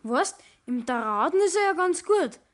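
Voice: sits high, sing-song, normal speed, a bit bright. A young performer playing a cheeky, sullen teenage apprentice boy with a Bavarian accent in a 1960s radio play, defiant and grumbling. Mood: grumbling, boastful.

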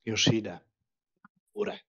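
An actor playing a cheerful, joking young country gentleman in an audiobook, with a jaunty, teasing manner. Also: on the low side, sing-song, slow pace, husky, exaggerated voice. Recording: dull, lo-fi sound.

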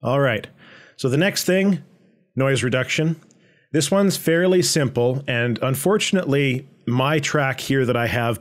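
A man presenting a software tutorial in a conversational, explanatory way with a medium-pitched voice. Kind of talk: informal software tutorial narration.

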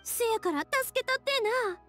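A woman's voice: very high-pitched, expressive, normal speed, over music. A woman playing a kind, earnest young heroine in an anime game, speaking expressively with a Kansai accent. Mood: pleading, sympathetic.

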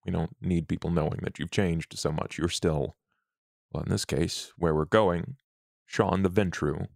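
A man role-playing a cautious male vampire confidant in a tabletop game, talking in a measured, thoughtful manner. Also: low voice, steady tone, gravelly, a little mellow, no room sound, studio quality. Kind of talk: improvised tabletop role-play acting.

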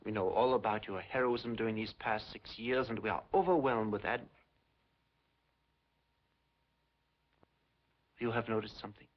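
An actor playing a polite, unctuous secret-police major who feigns sympathy in a 1950s film. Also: male voice, lowish voice, fairly quick, natural voice. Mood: suavely courteous. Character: smooth, falsely courteous Soviet secret-police major interrogating a prisoner. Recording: dull, noisy 1950s film soundtrack.

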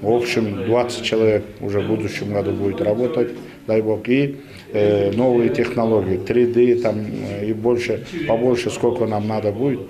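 Low-voiced older man in a busy room. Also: flat delivery. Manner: halting, loosely phrased. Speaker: government film department director, interviewee. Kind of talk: press interview. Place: indoor room with press. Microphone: handheld TV microphone. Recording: busy room with crowd behind.

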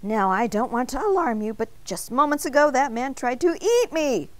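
Woman as small male cartoonish donut, storybook character, high-pitched, excitable, exclaiming, very animated. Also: unhurried, dry recording, full character voice. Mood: frantic. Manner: dramatic, exclaiming, extra polite when pleading. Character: excitable, indignant little talking donut, male, polite but dramatic.

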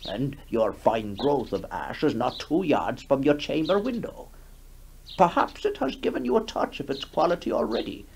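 A man's voice: fairly high pitch, expressive, moderate pace, gravelly, a little mellow. Man playing a hesitant, courteous bishop. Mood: courteous, cautious. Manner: hesitant, polite, formal. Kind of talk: 1960s radio horror story acting. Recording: noisy 1960s radio broadcast.

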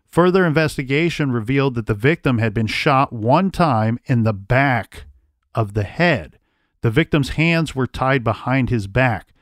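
A man's voice: medium pitch, no room sound, studio quality. A man hosting a true crime podcast, reading old case records aloud and then thinking through theories in casual talk. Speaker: podcast host.

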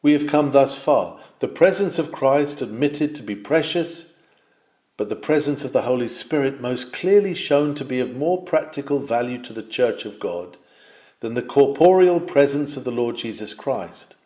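A man reading a nineteenth-century sermon aloud, measured and emphatic, rising on his questions. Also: medium pitch, lively intonation, a little mellow. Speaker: sermon reader. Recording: roomy echo.

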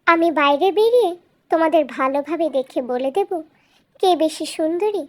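A woman's voice: very high voice, expressive, normal speed, pitched above the performer's own voice. A woman voicing a clever, cheeky little talking bird in a storybook cartoon, with a theatrical, coaxing and taunting manner. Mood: coaxing, sly.

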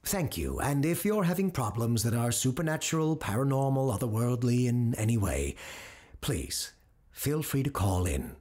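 An actor playing a clinical, self-assured radio host doctor who deals with the supernatural, in an audio drama. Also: male voice, medium pitch, sing-song, moderate pace, a little mellow, slightly rough. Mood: firm. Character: cold calculating male radio host doctor, supernatural expert.

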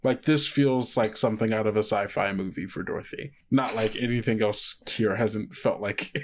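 A man's voice: lowish voice, moderate pace, slight background noise. A man with an American accent who runs a tabletop game, narrating off the cuff in a conversational, rambling way full of asides. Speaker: game master.

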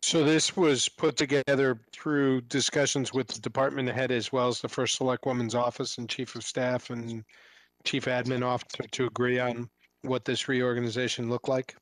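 A man's voice: mid-range voice, little pitch movement, moderate pace, no room sound, poor audio. Guy on the board asking straight questions, dry close sound. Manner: direct questioning. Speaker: board member. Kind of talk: public budget hearing questions.

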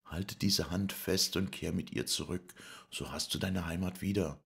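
A man voicing a gentle, loving mother who speaks softly and consolingly. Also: deep voice, normal speed, creaky, voice pushed up.

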